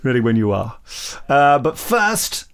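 A man's voice: medium pitch, big pitch swings, measured pace, clean tone. A British man co-hosting a podcast, chatting casually and reading emails aloud. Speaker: podcast co-host.

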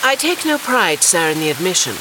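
A woman's voice: on the low side, very animated, noisy background. Ominous, formal Victorian woman in rain. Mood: grave, foreboding. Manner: formal, portentous, deliberate wording. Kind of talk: period audio drama acting. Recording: heavy rain and street ambience. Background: rain and passing vehicle sounds.

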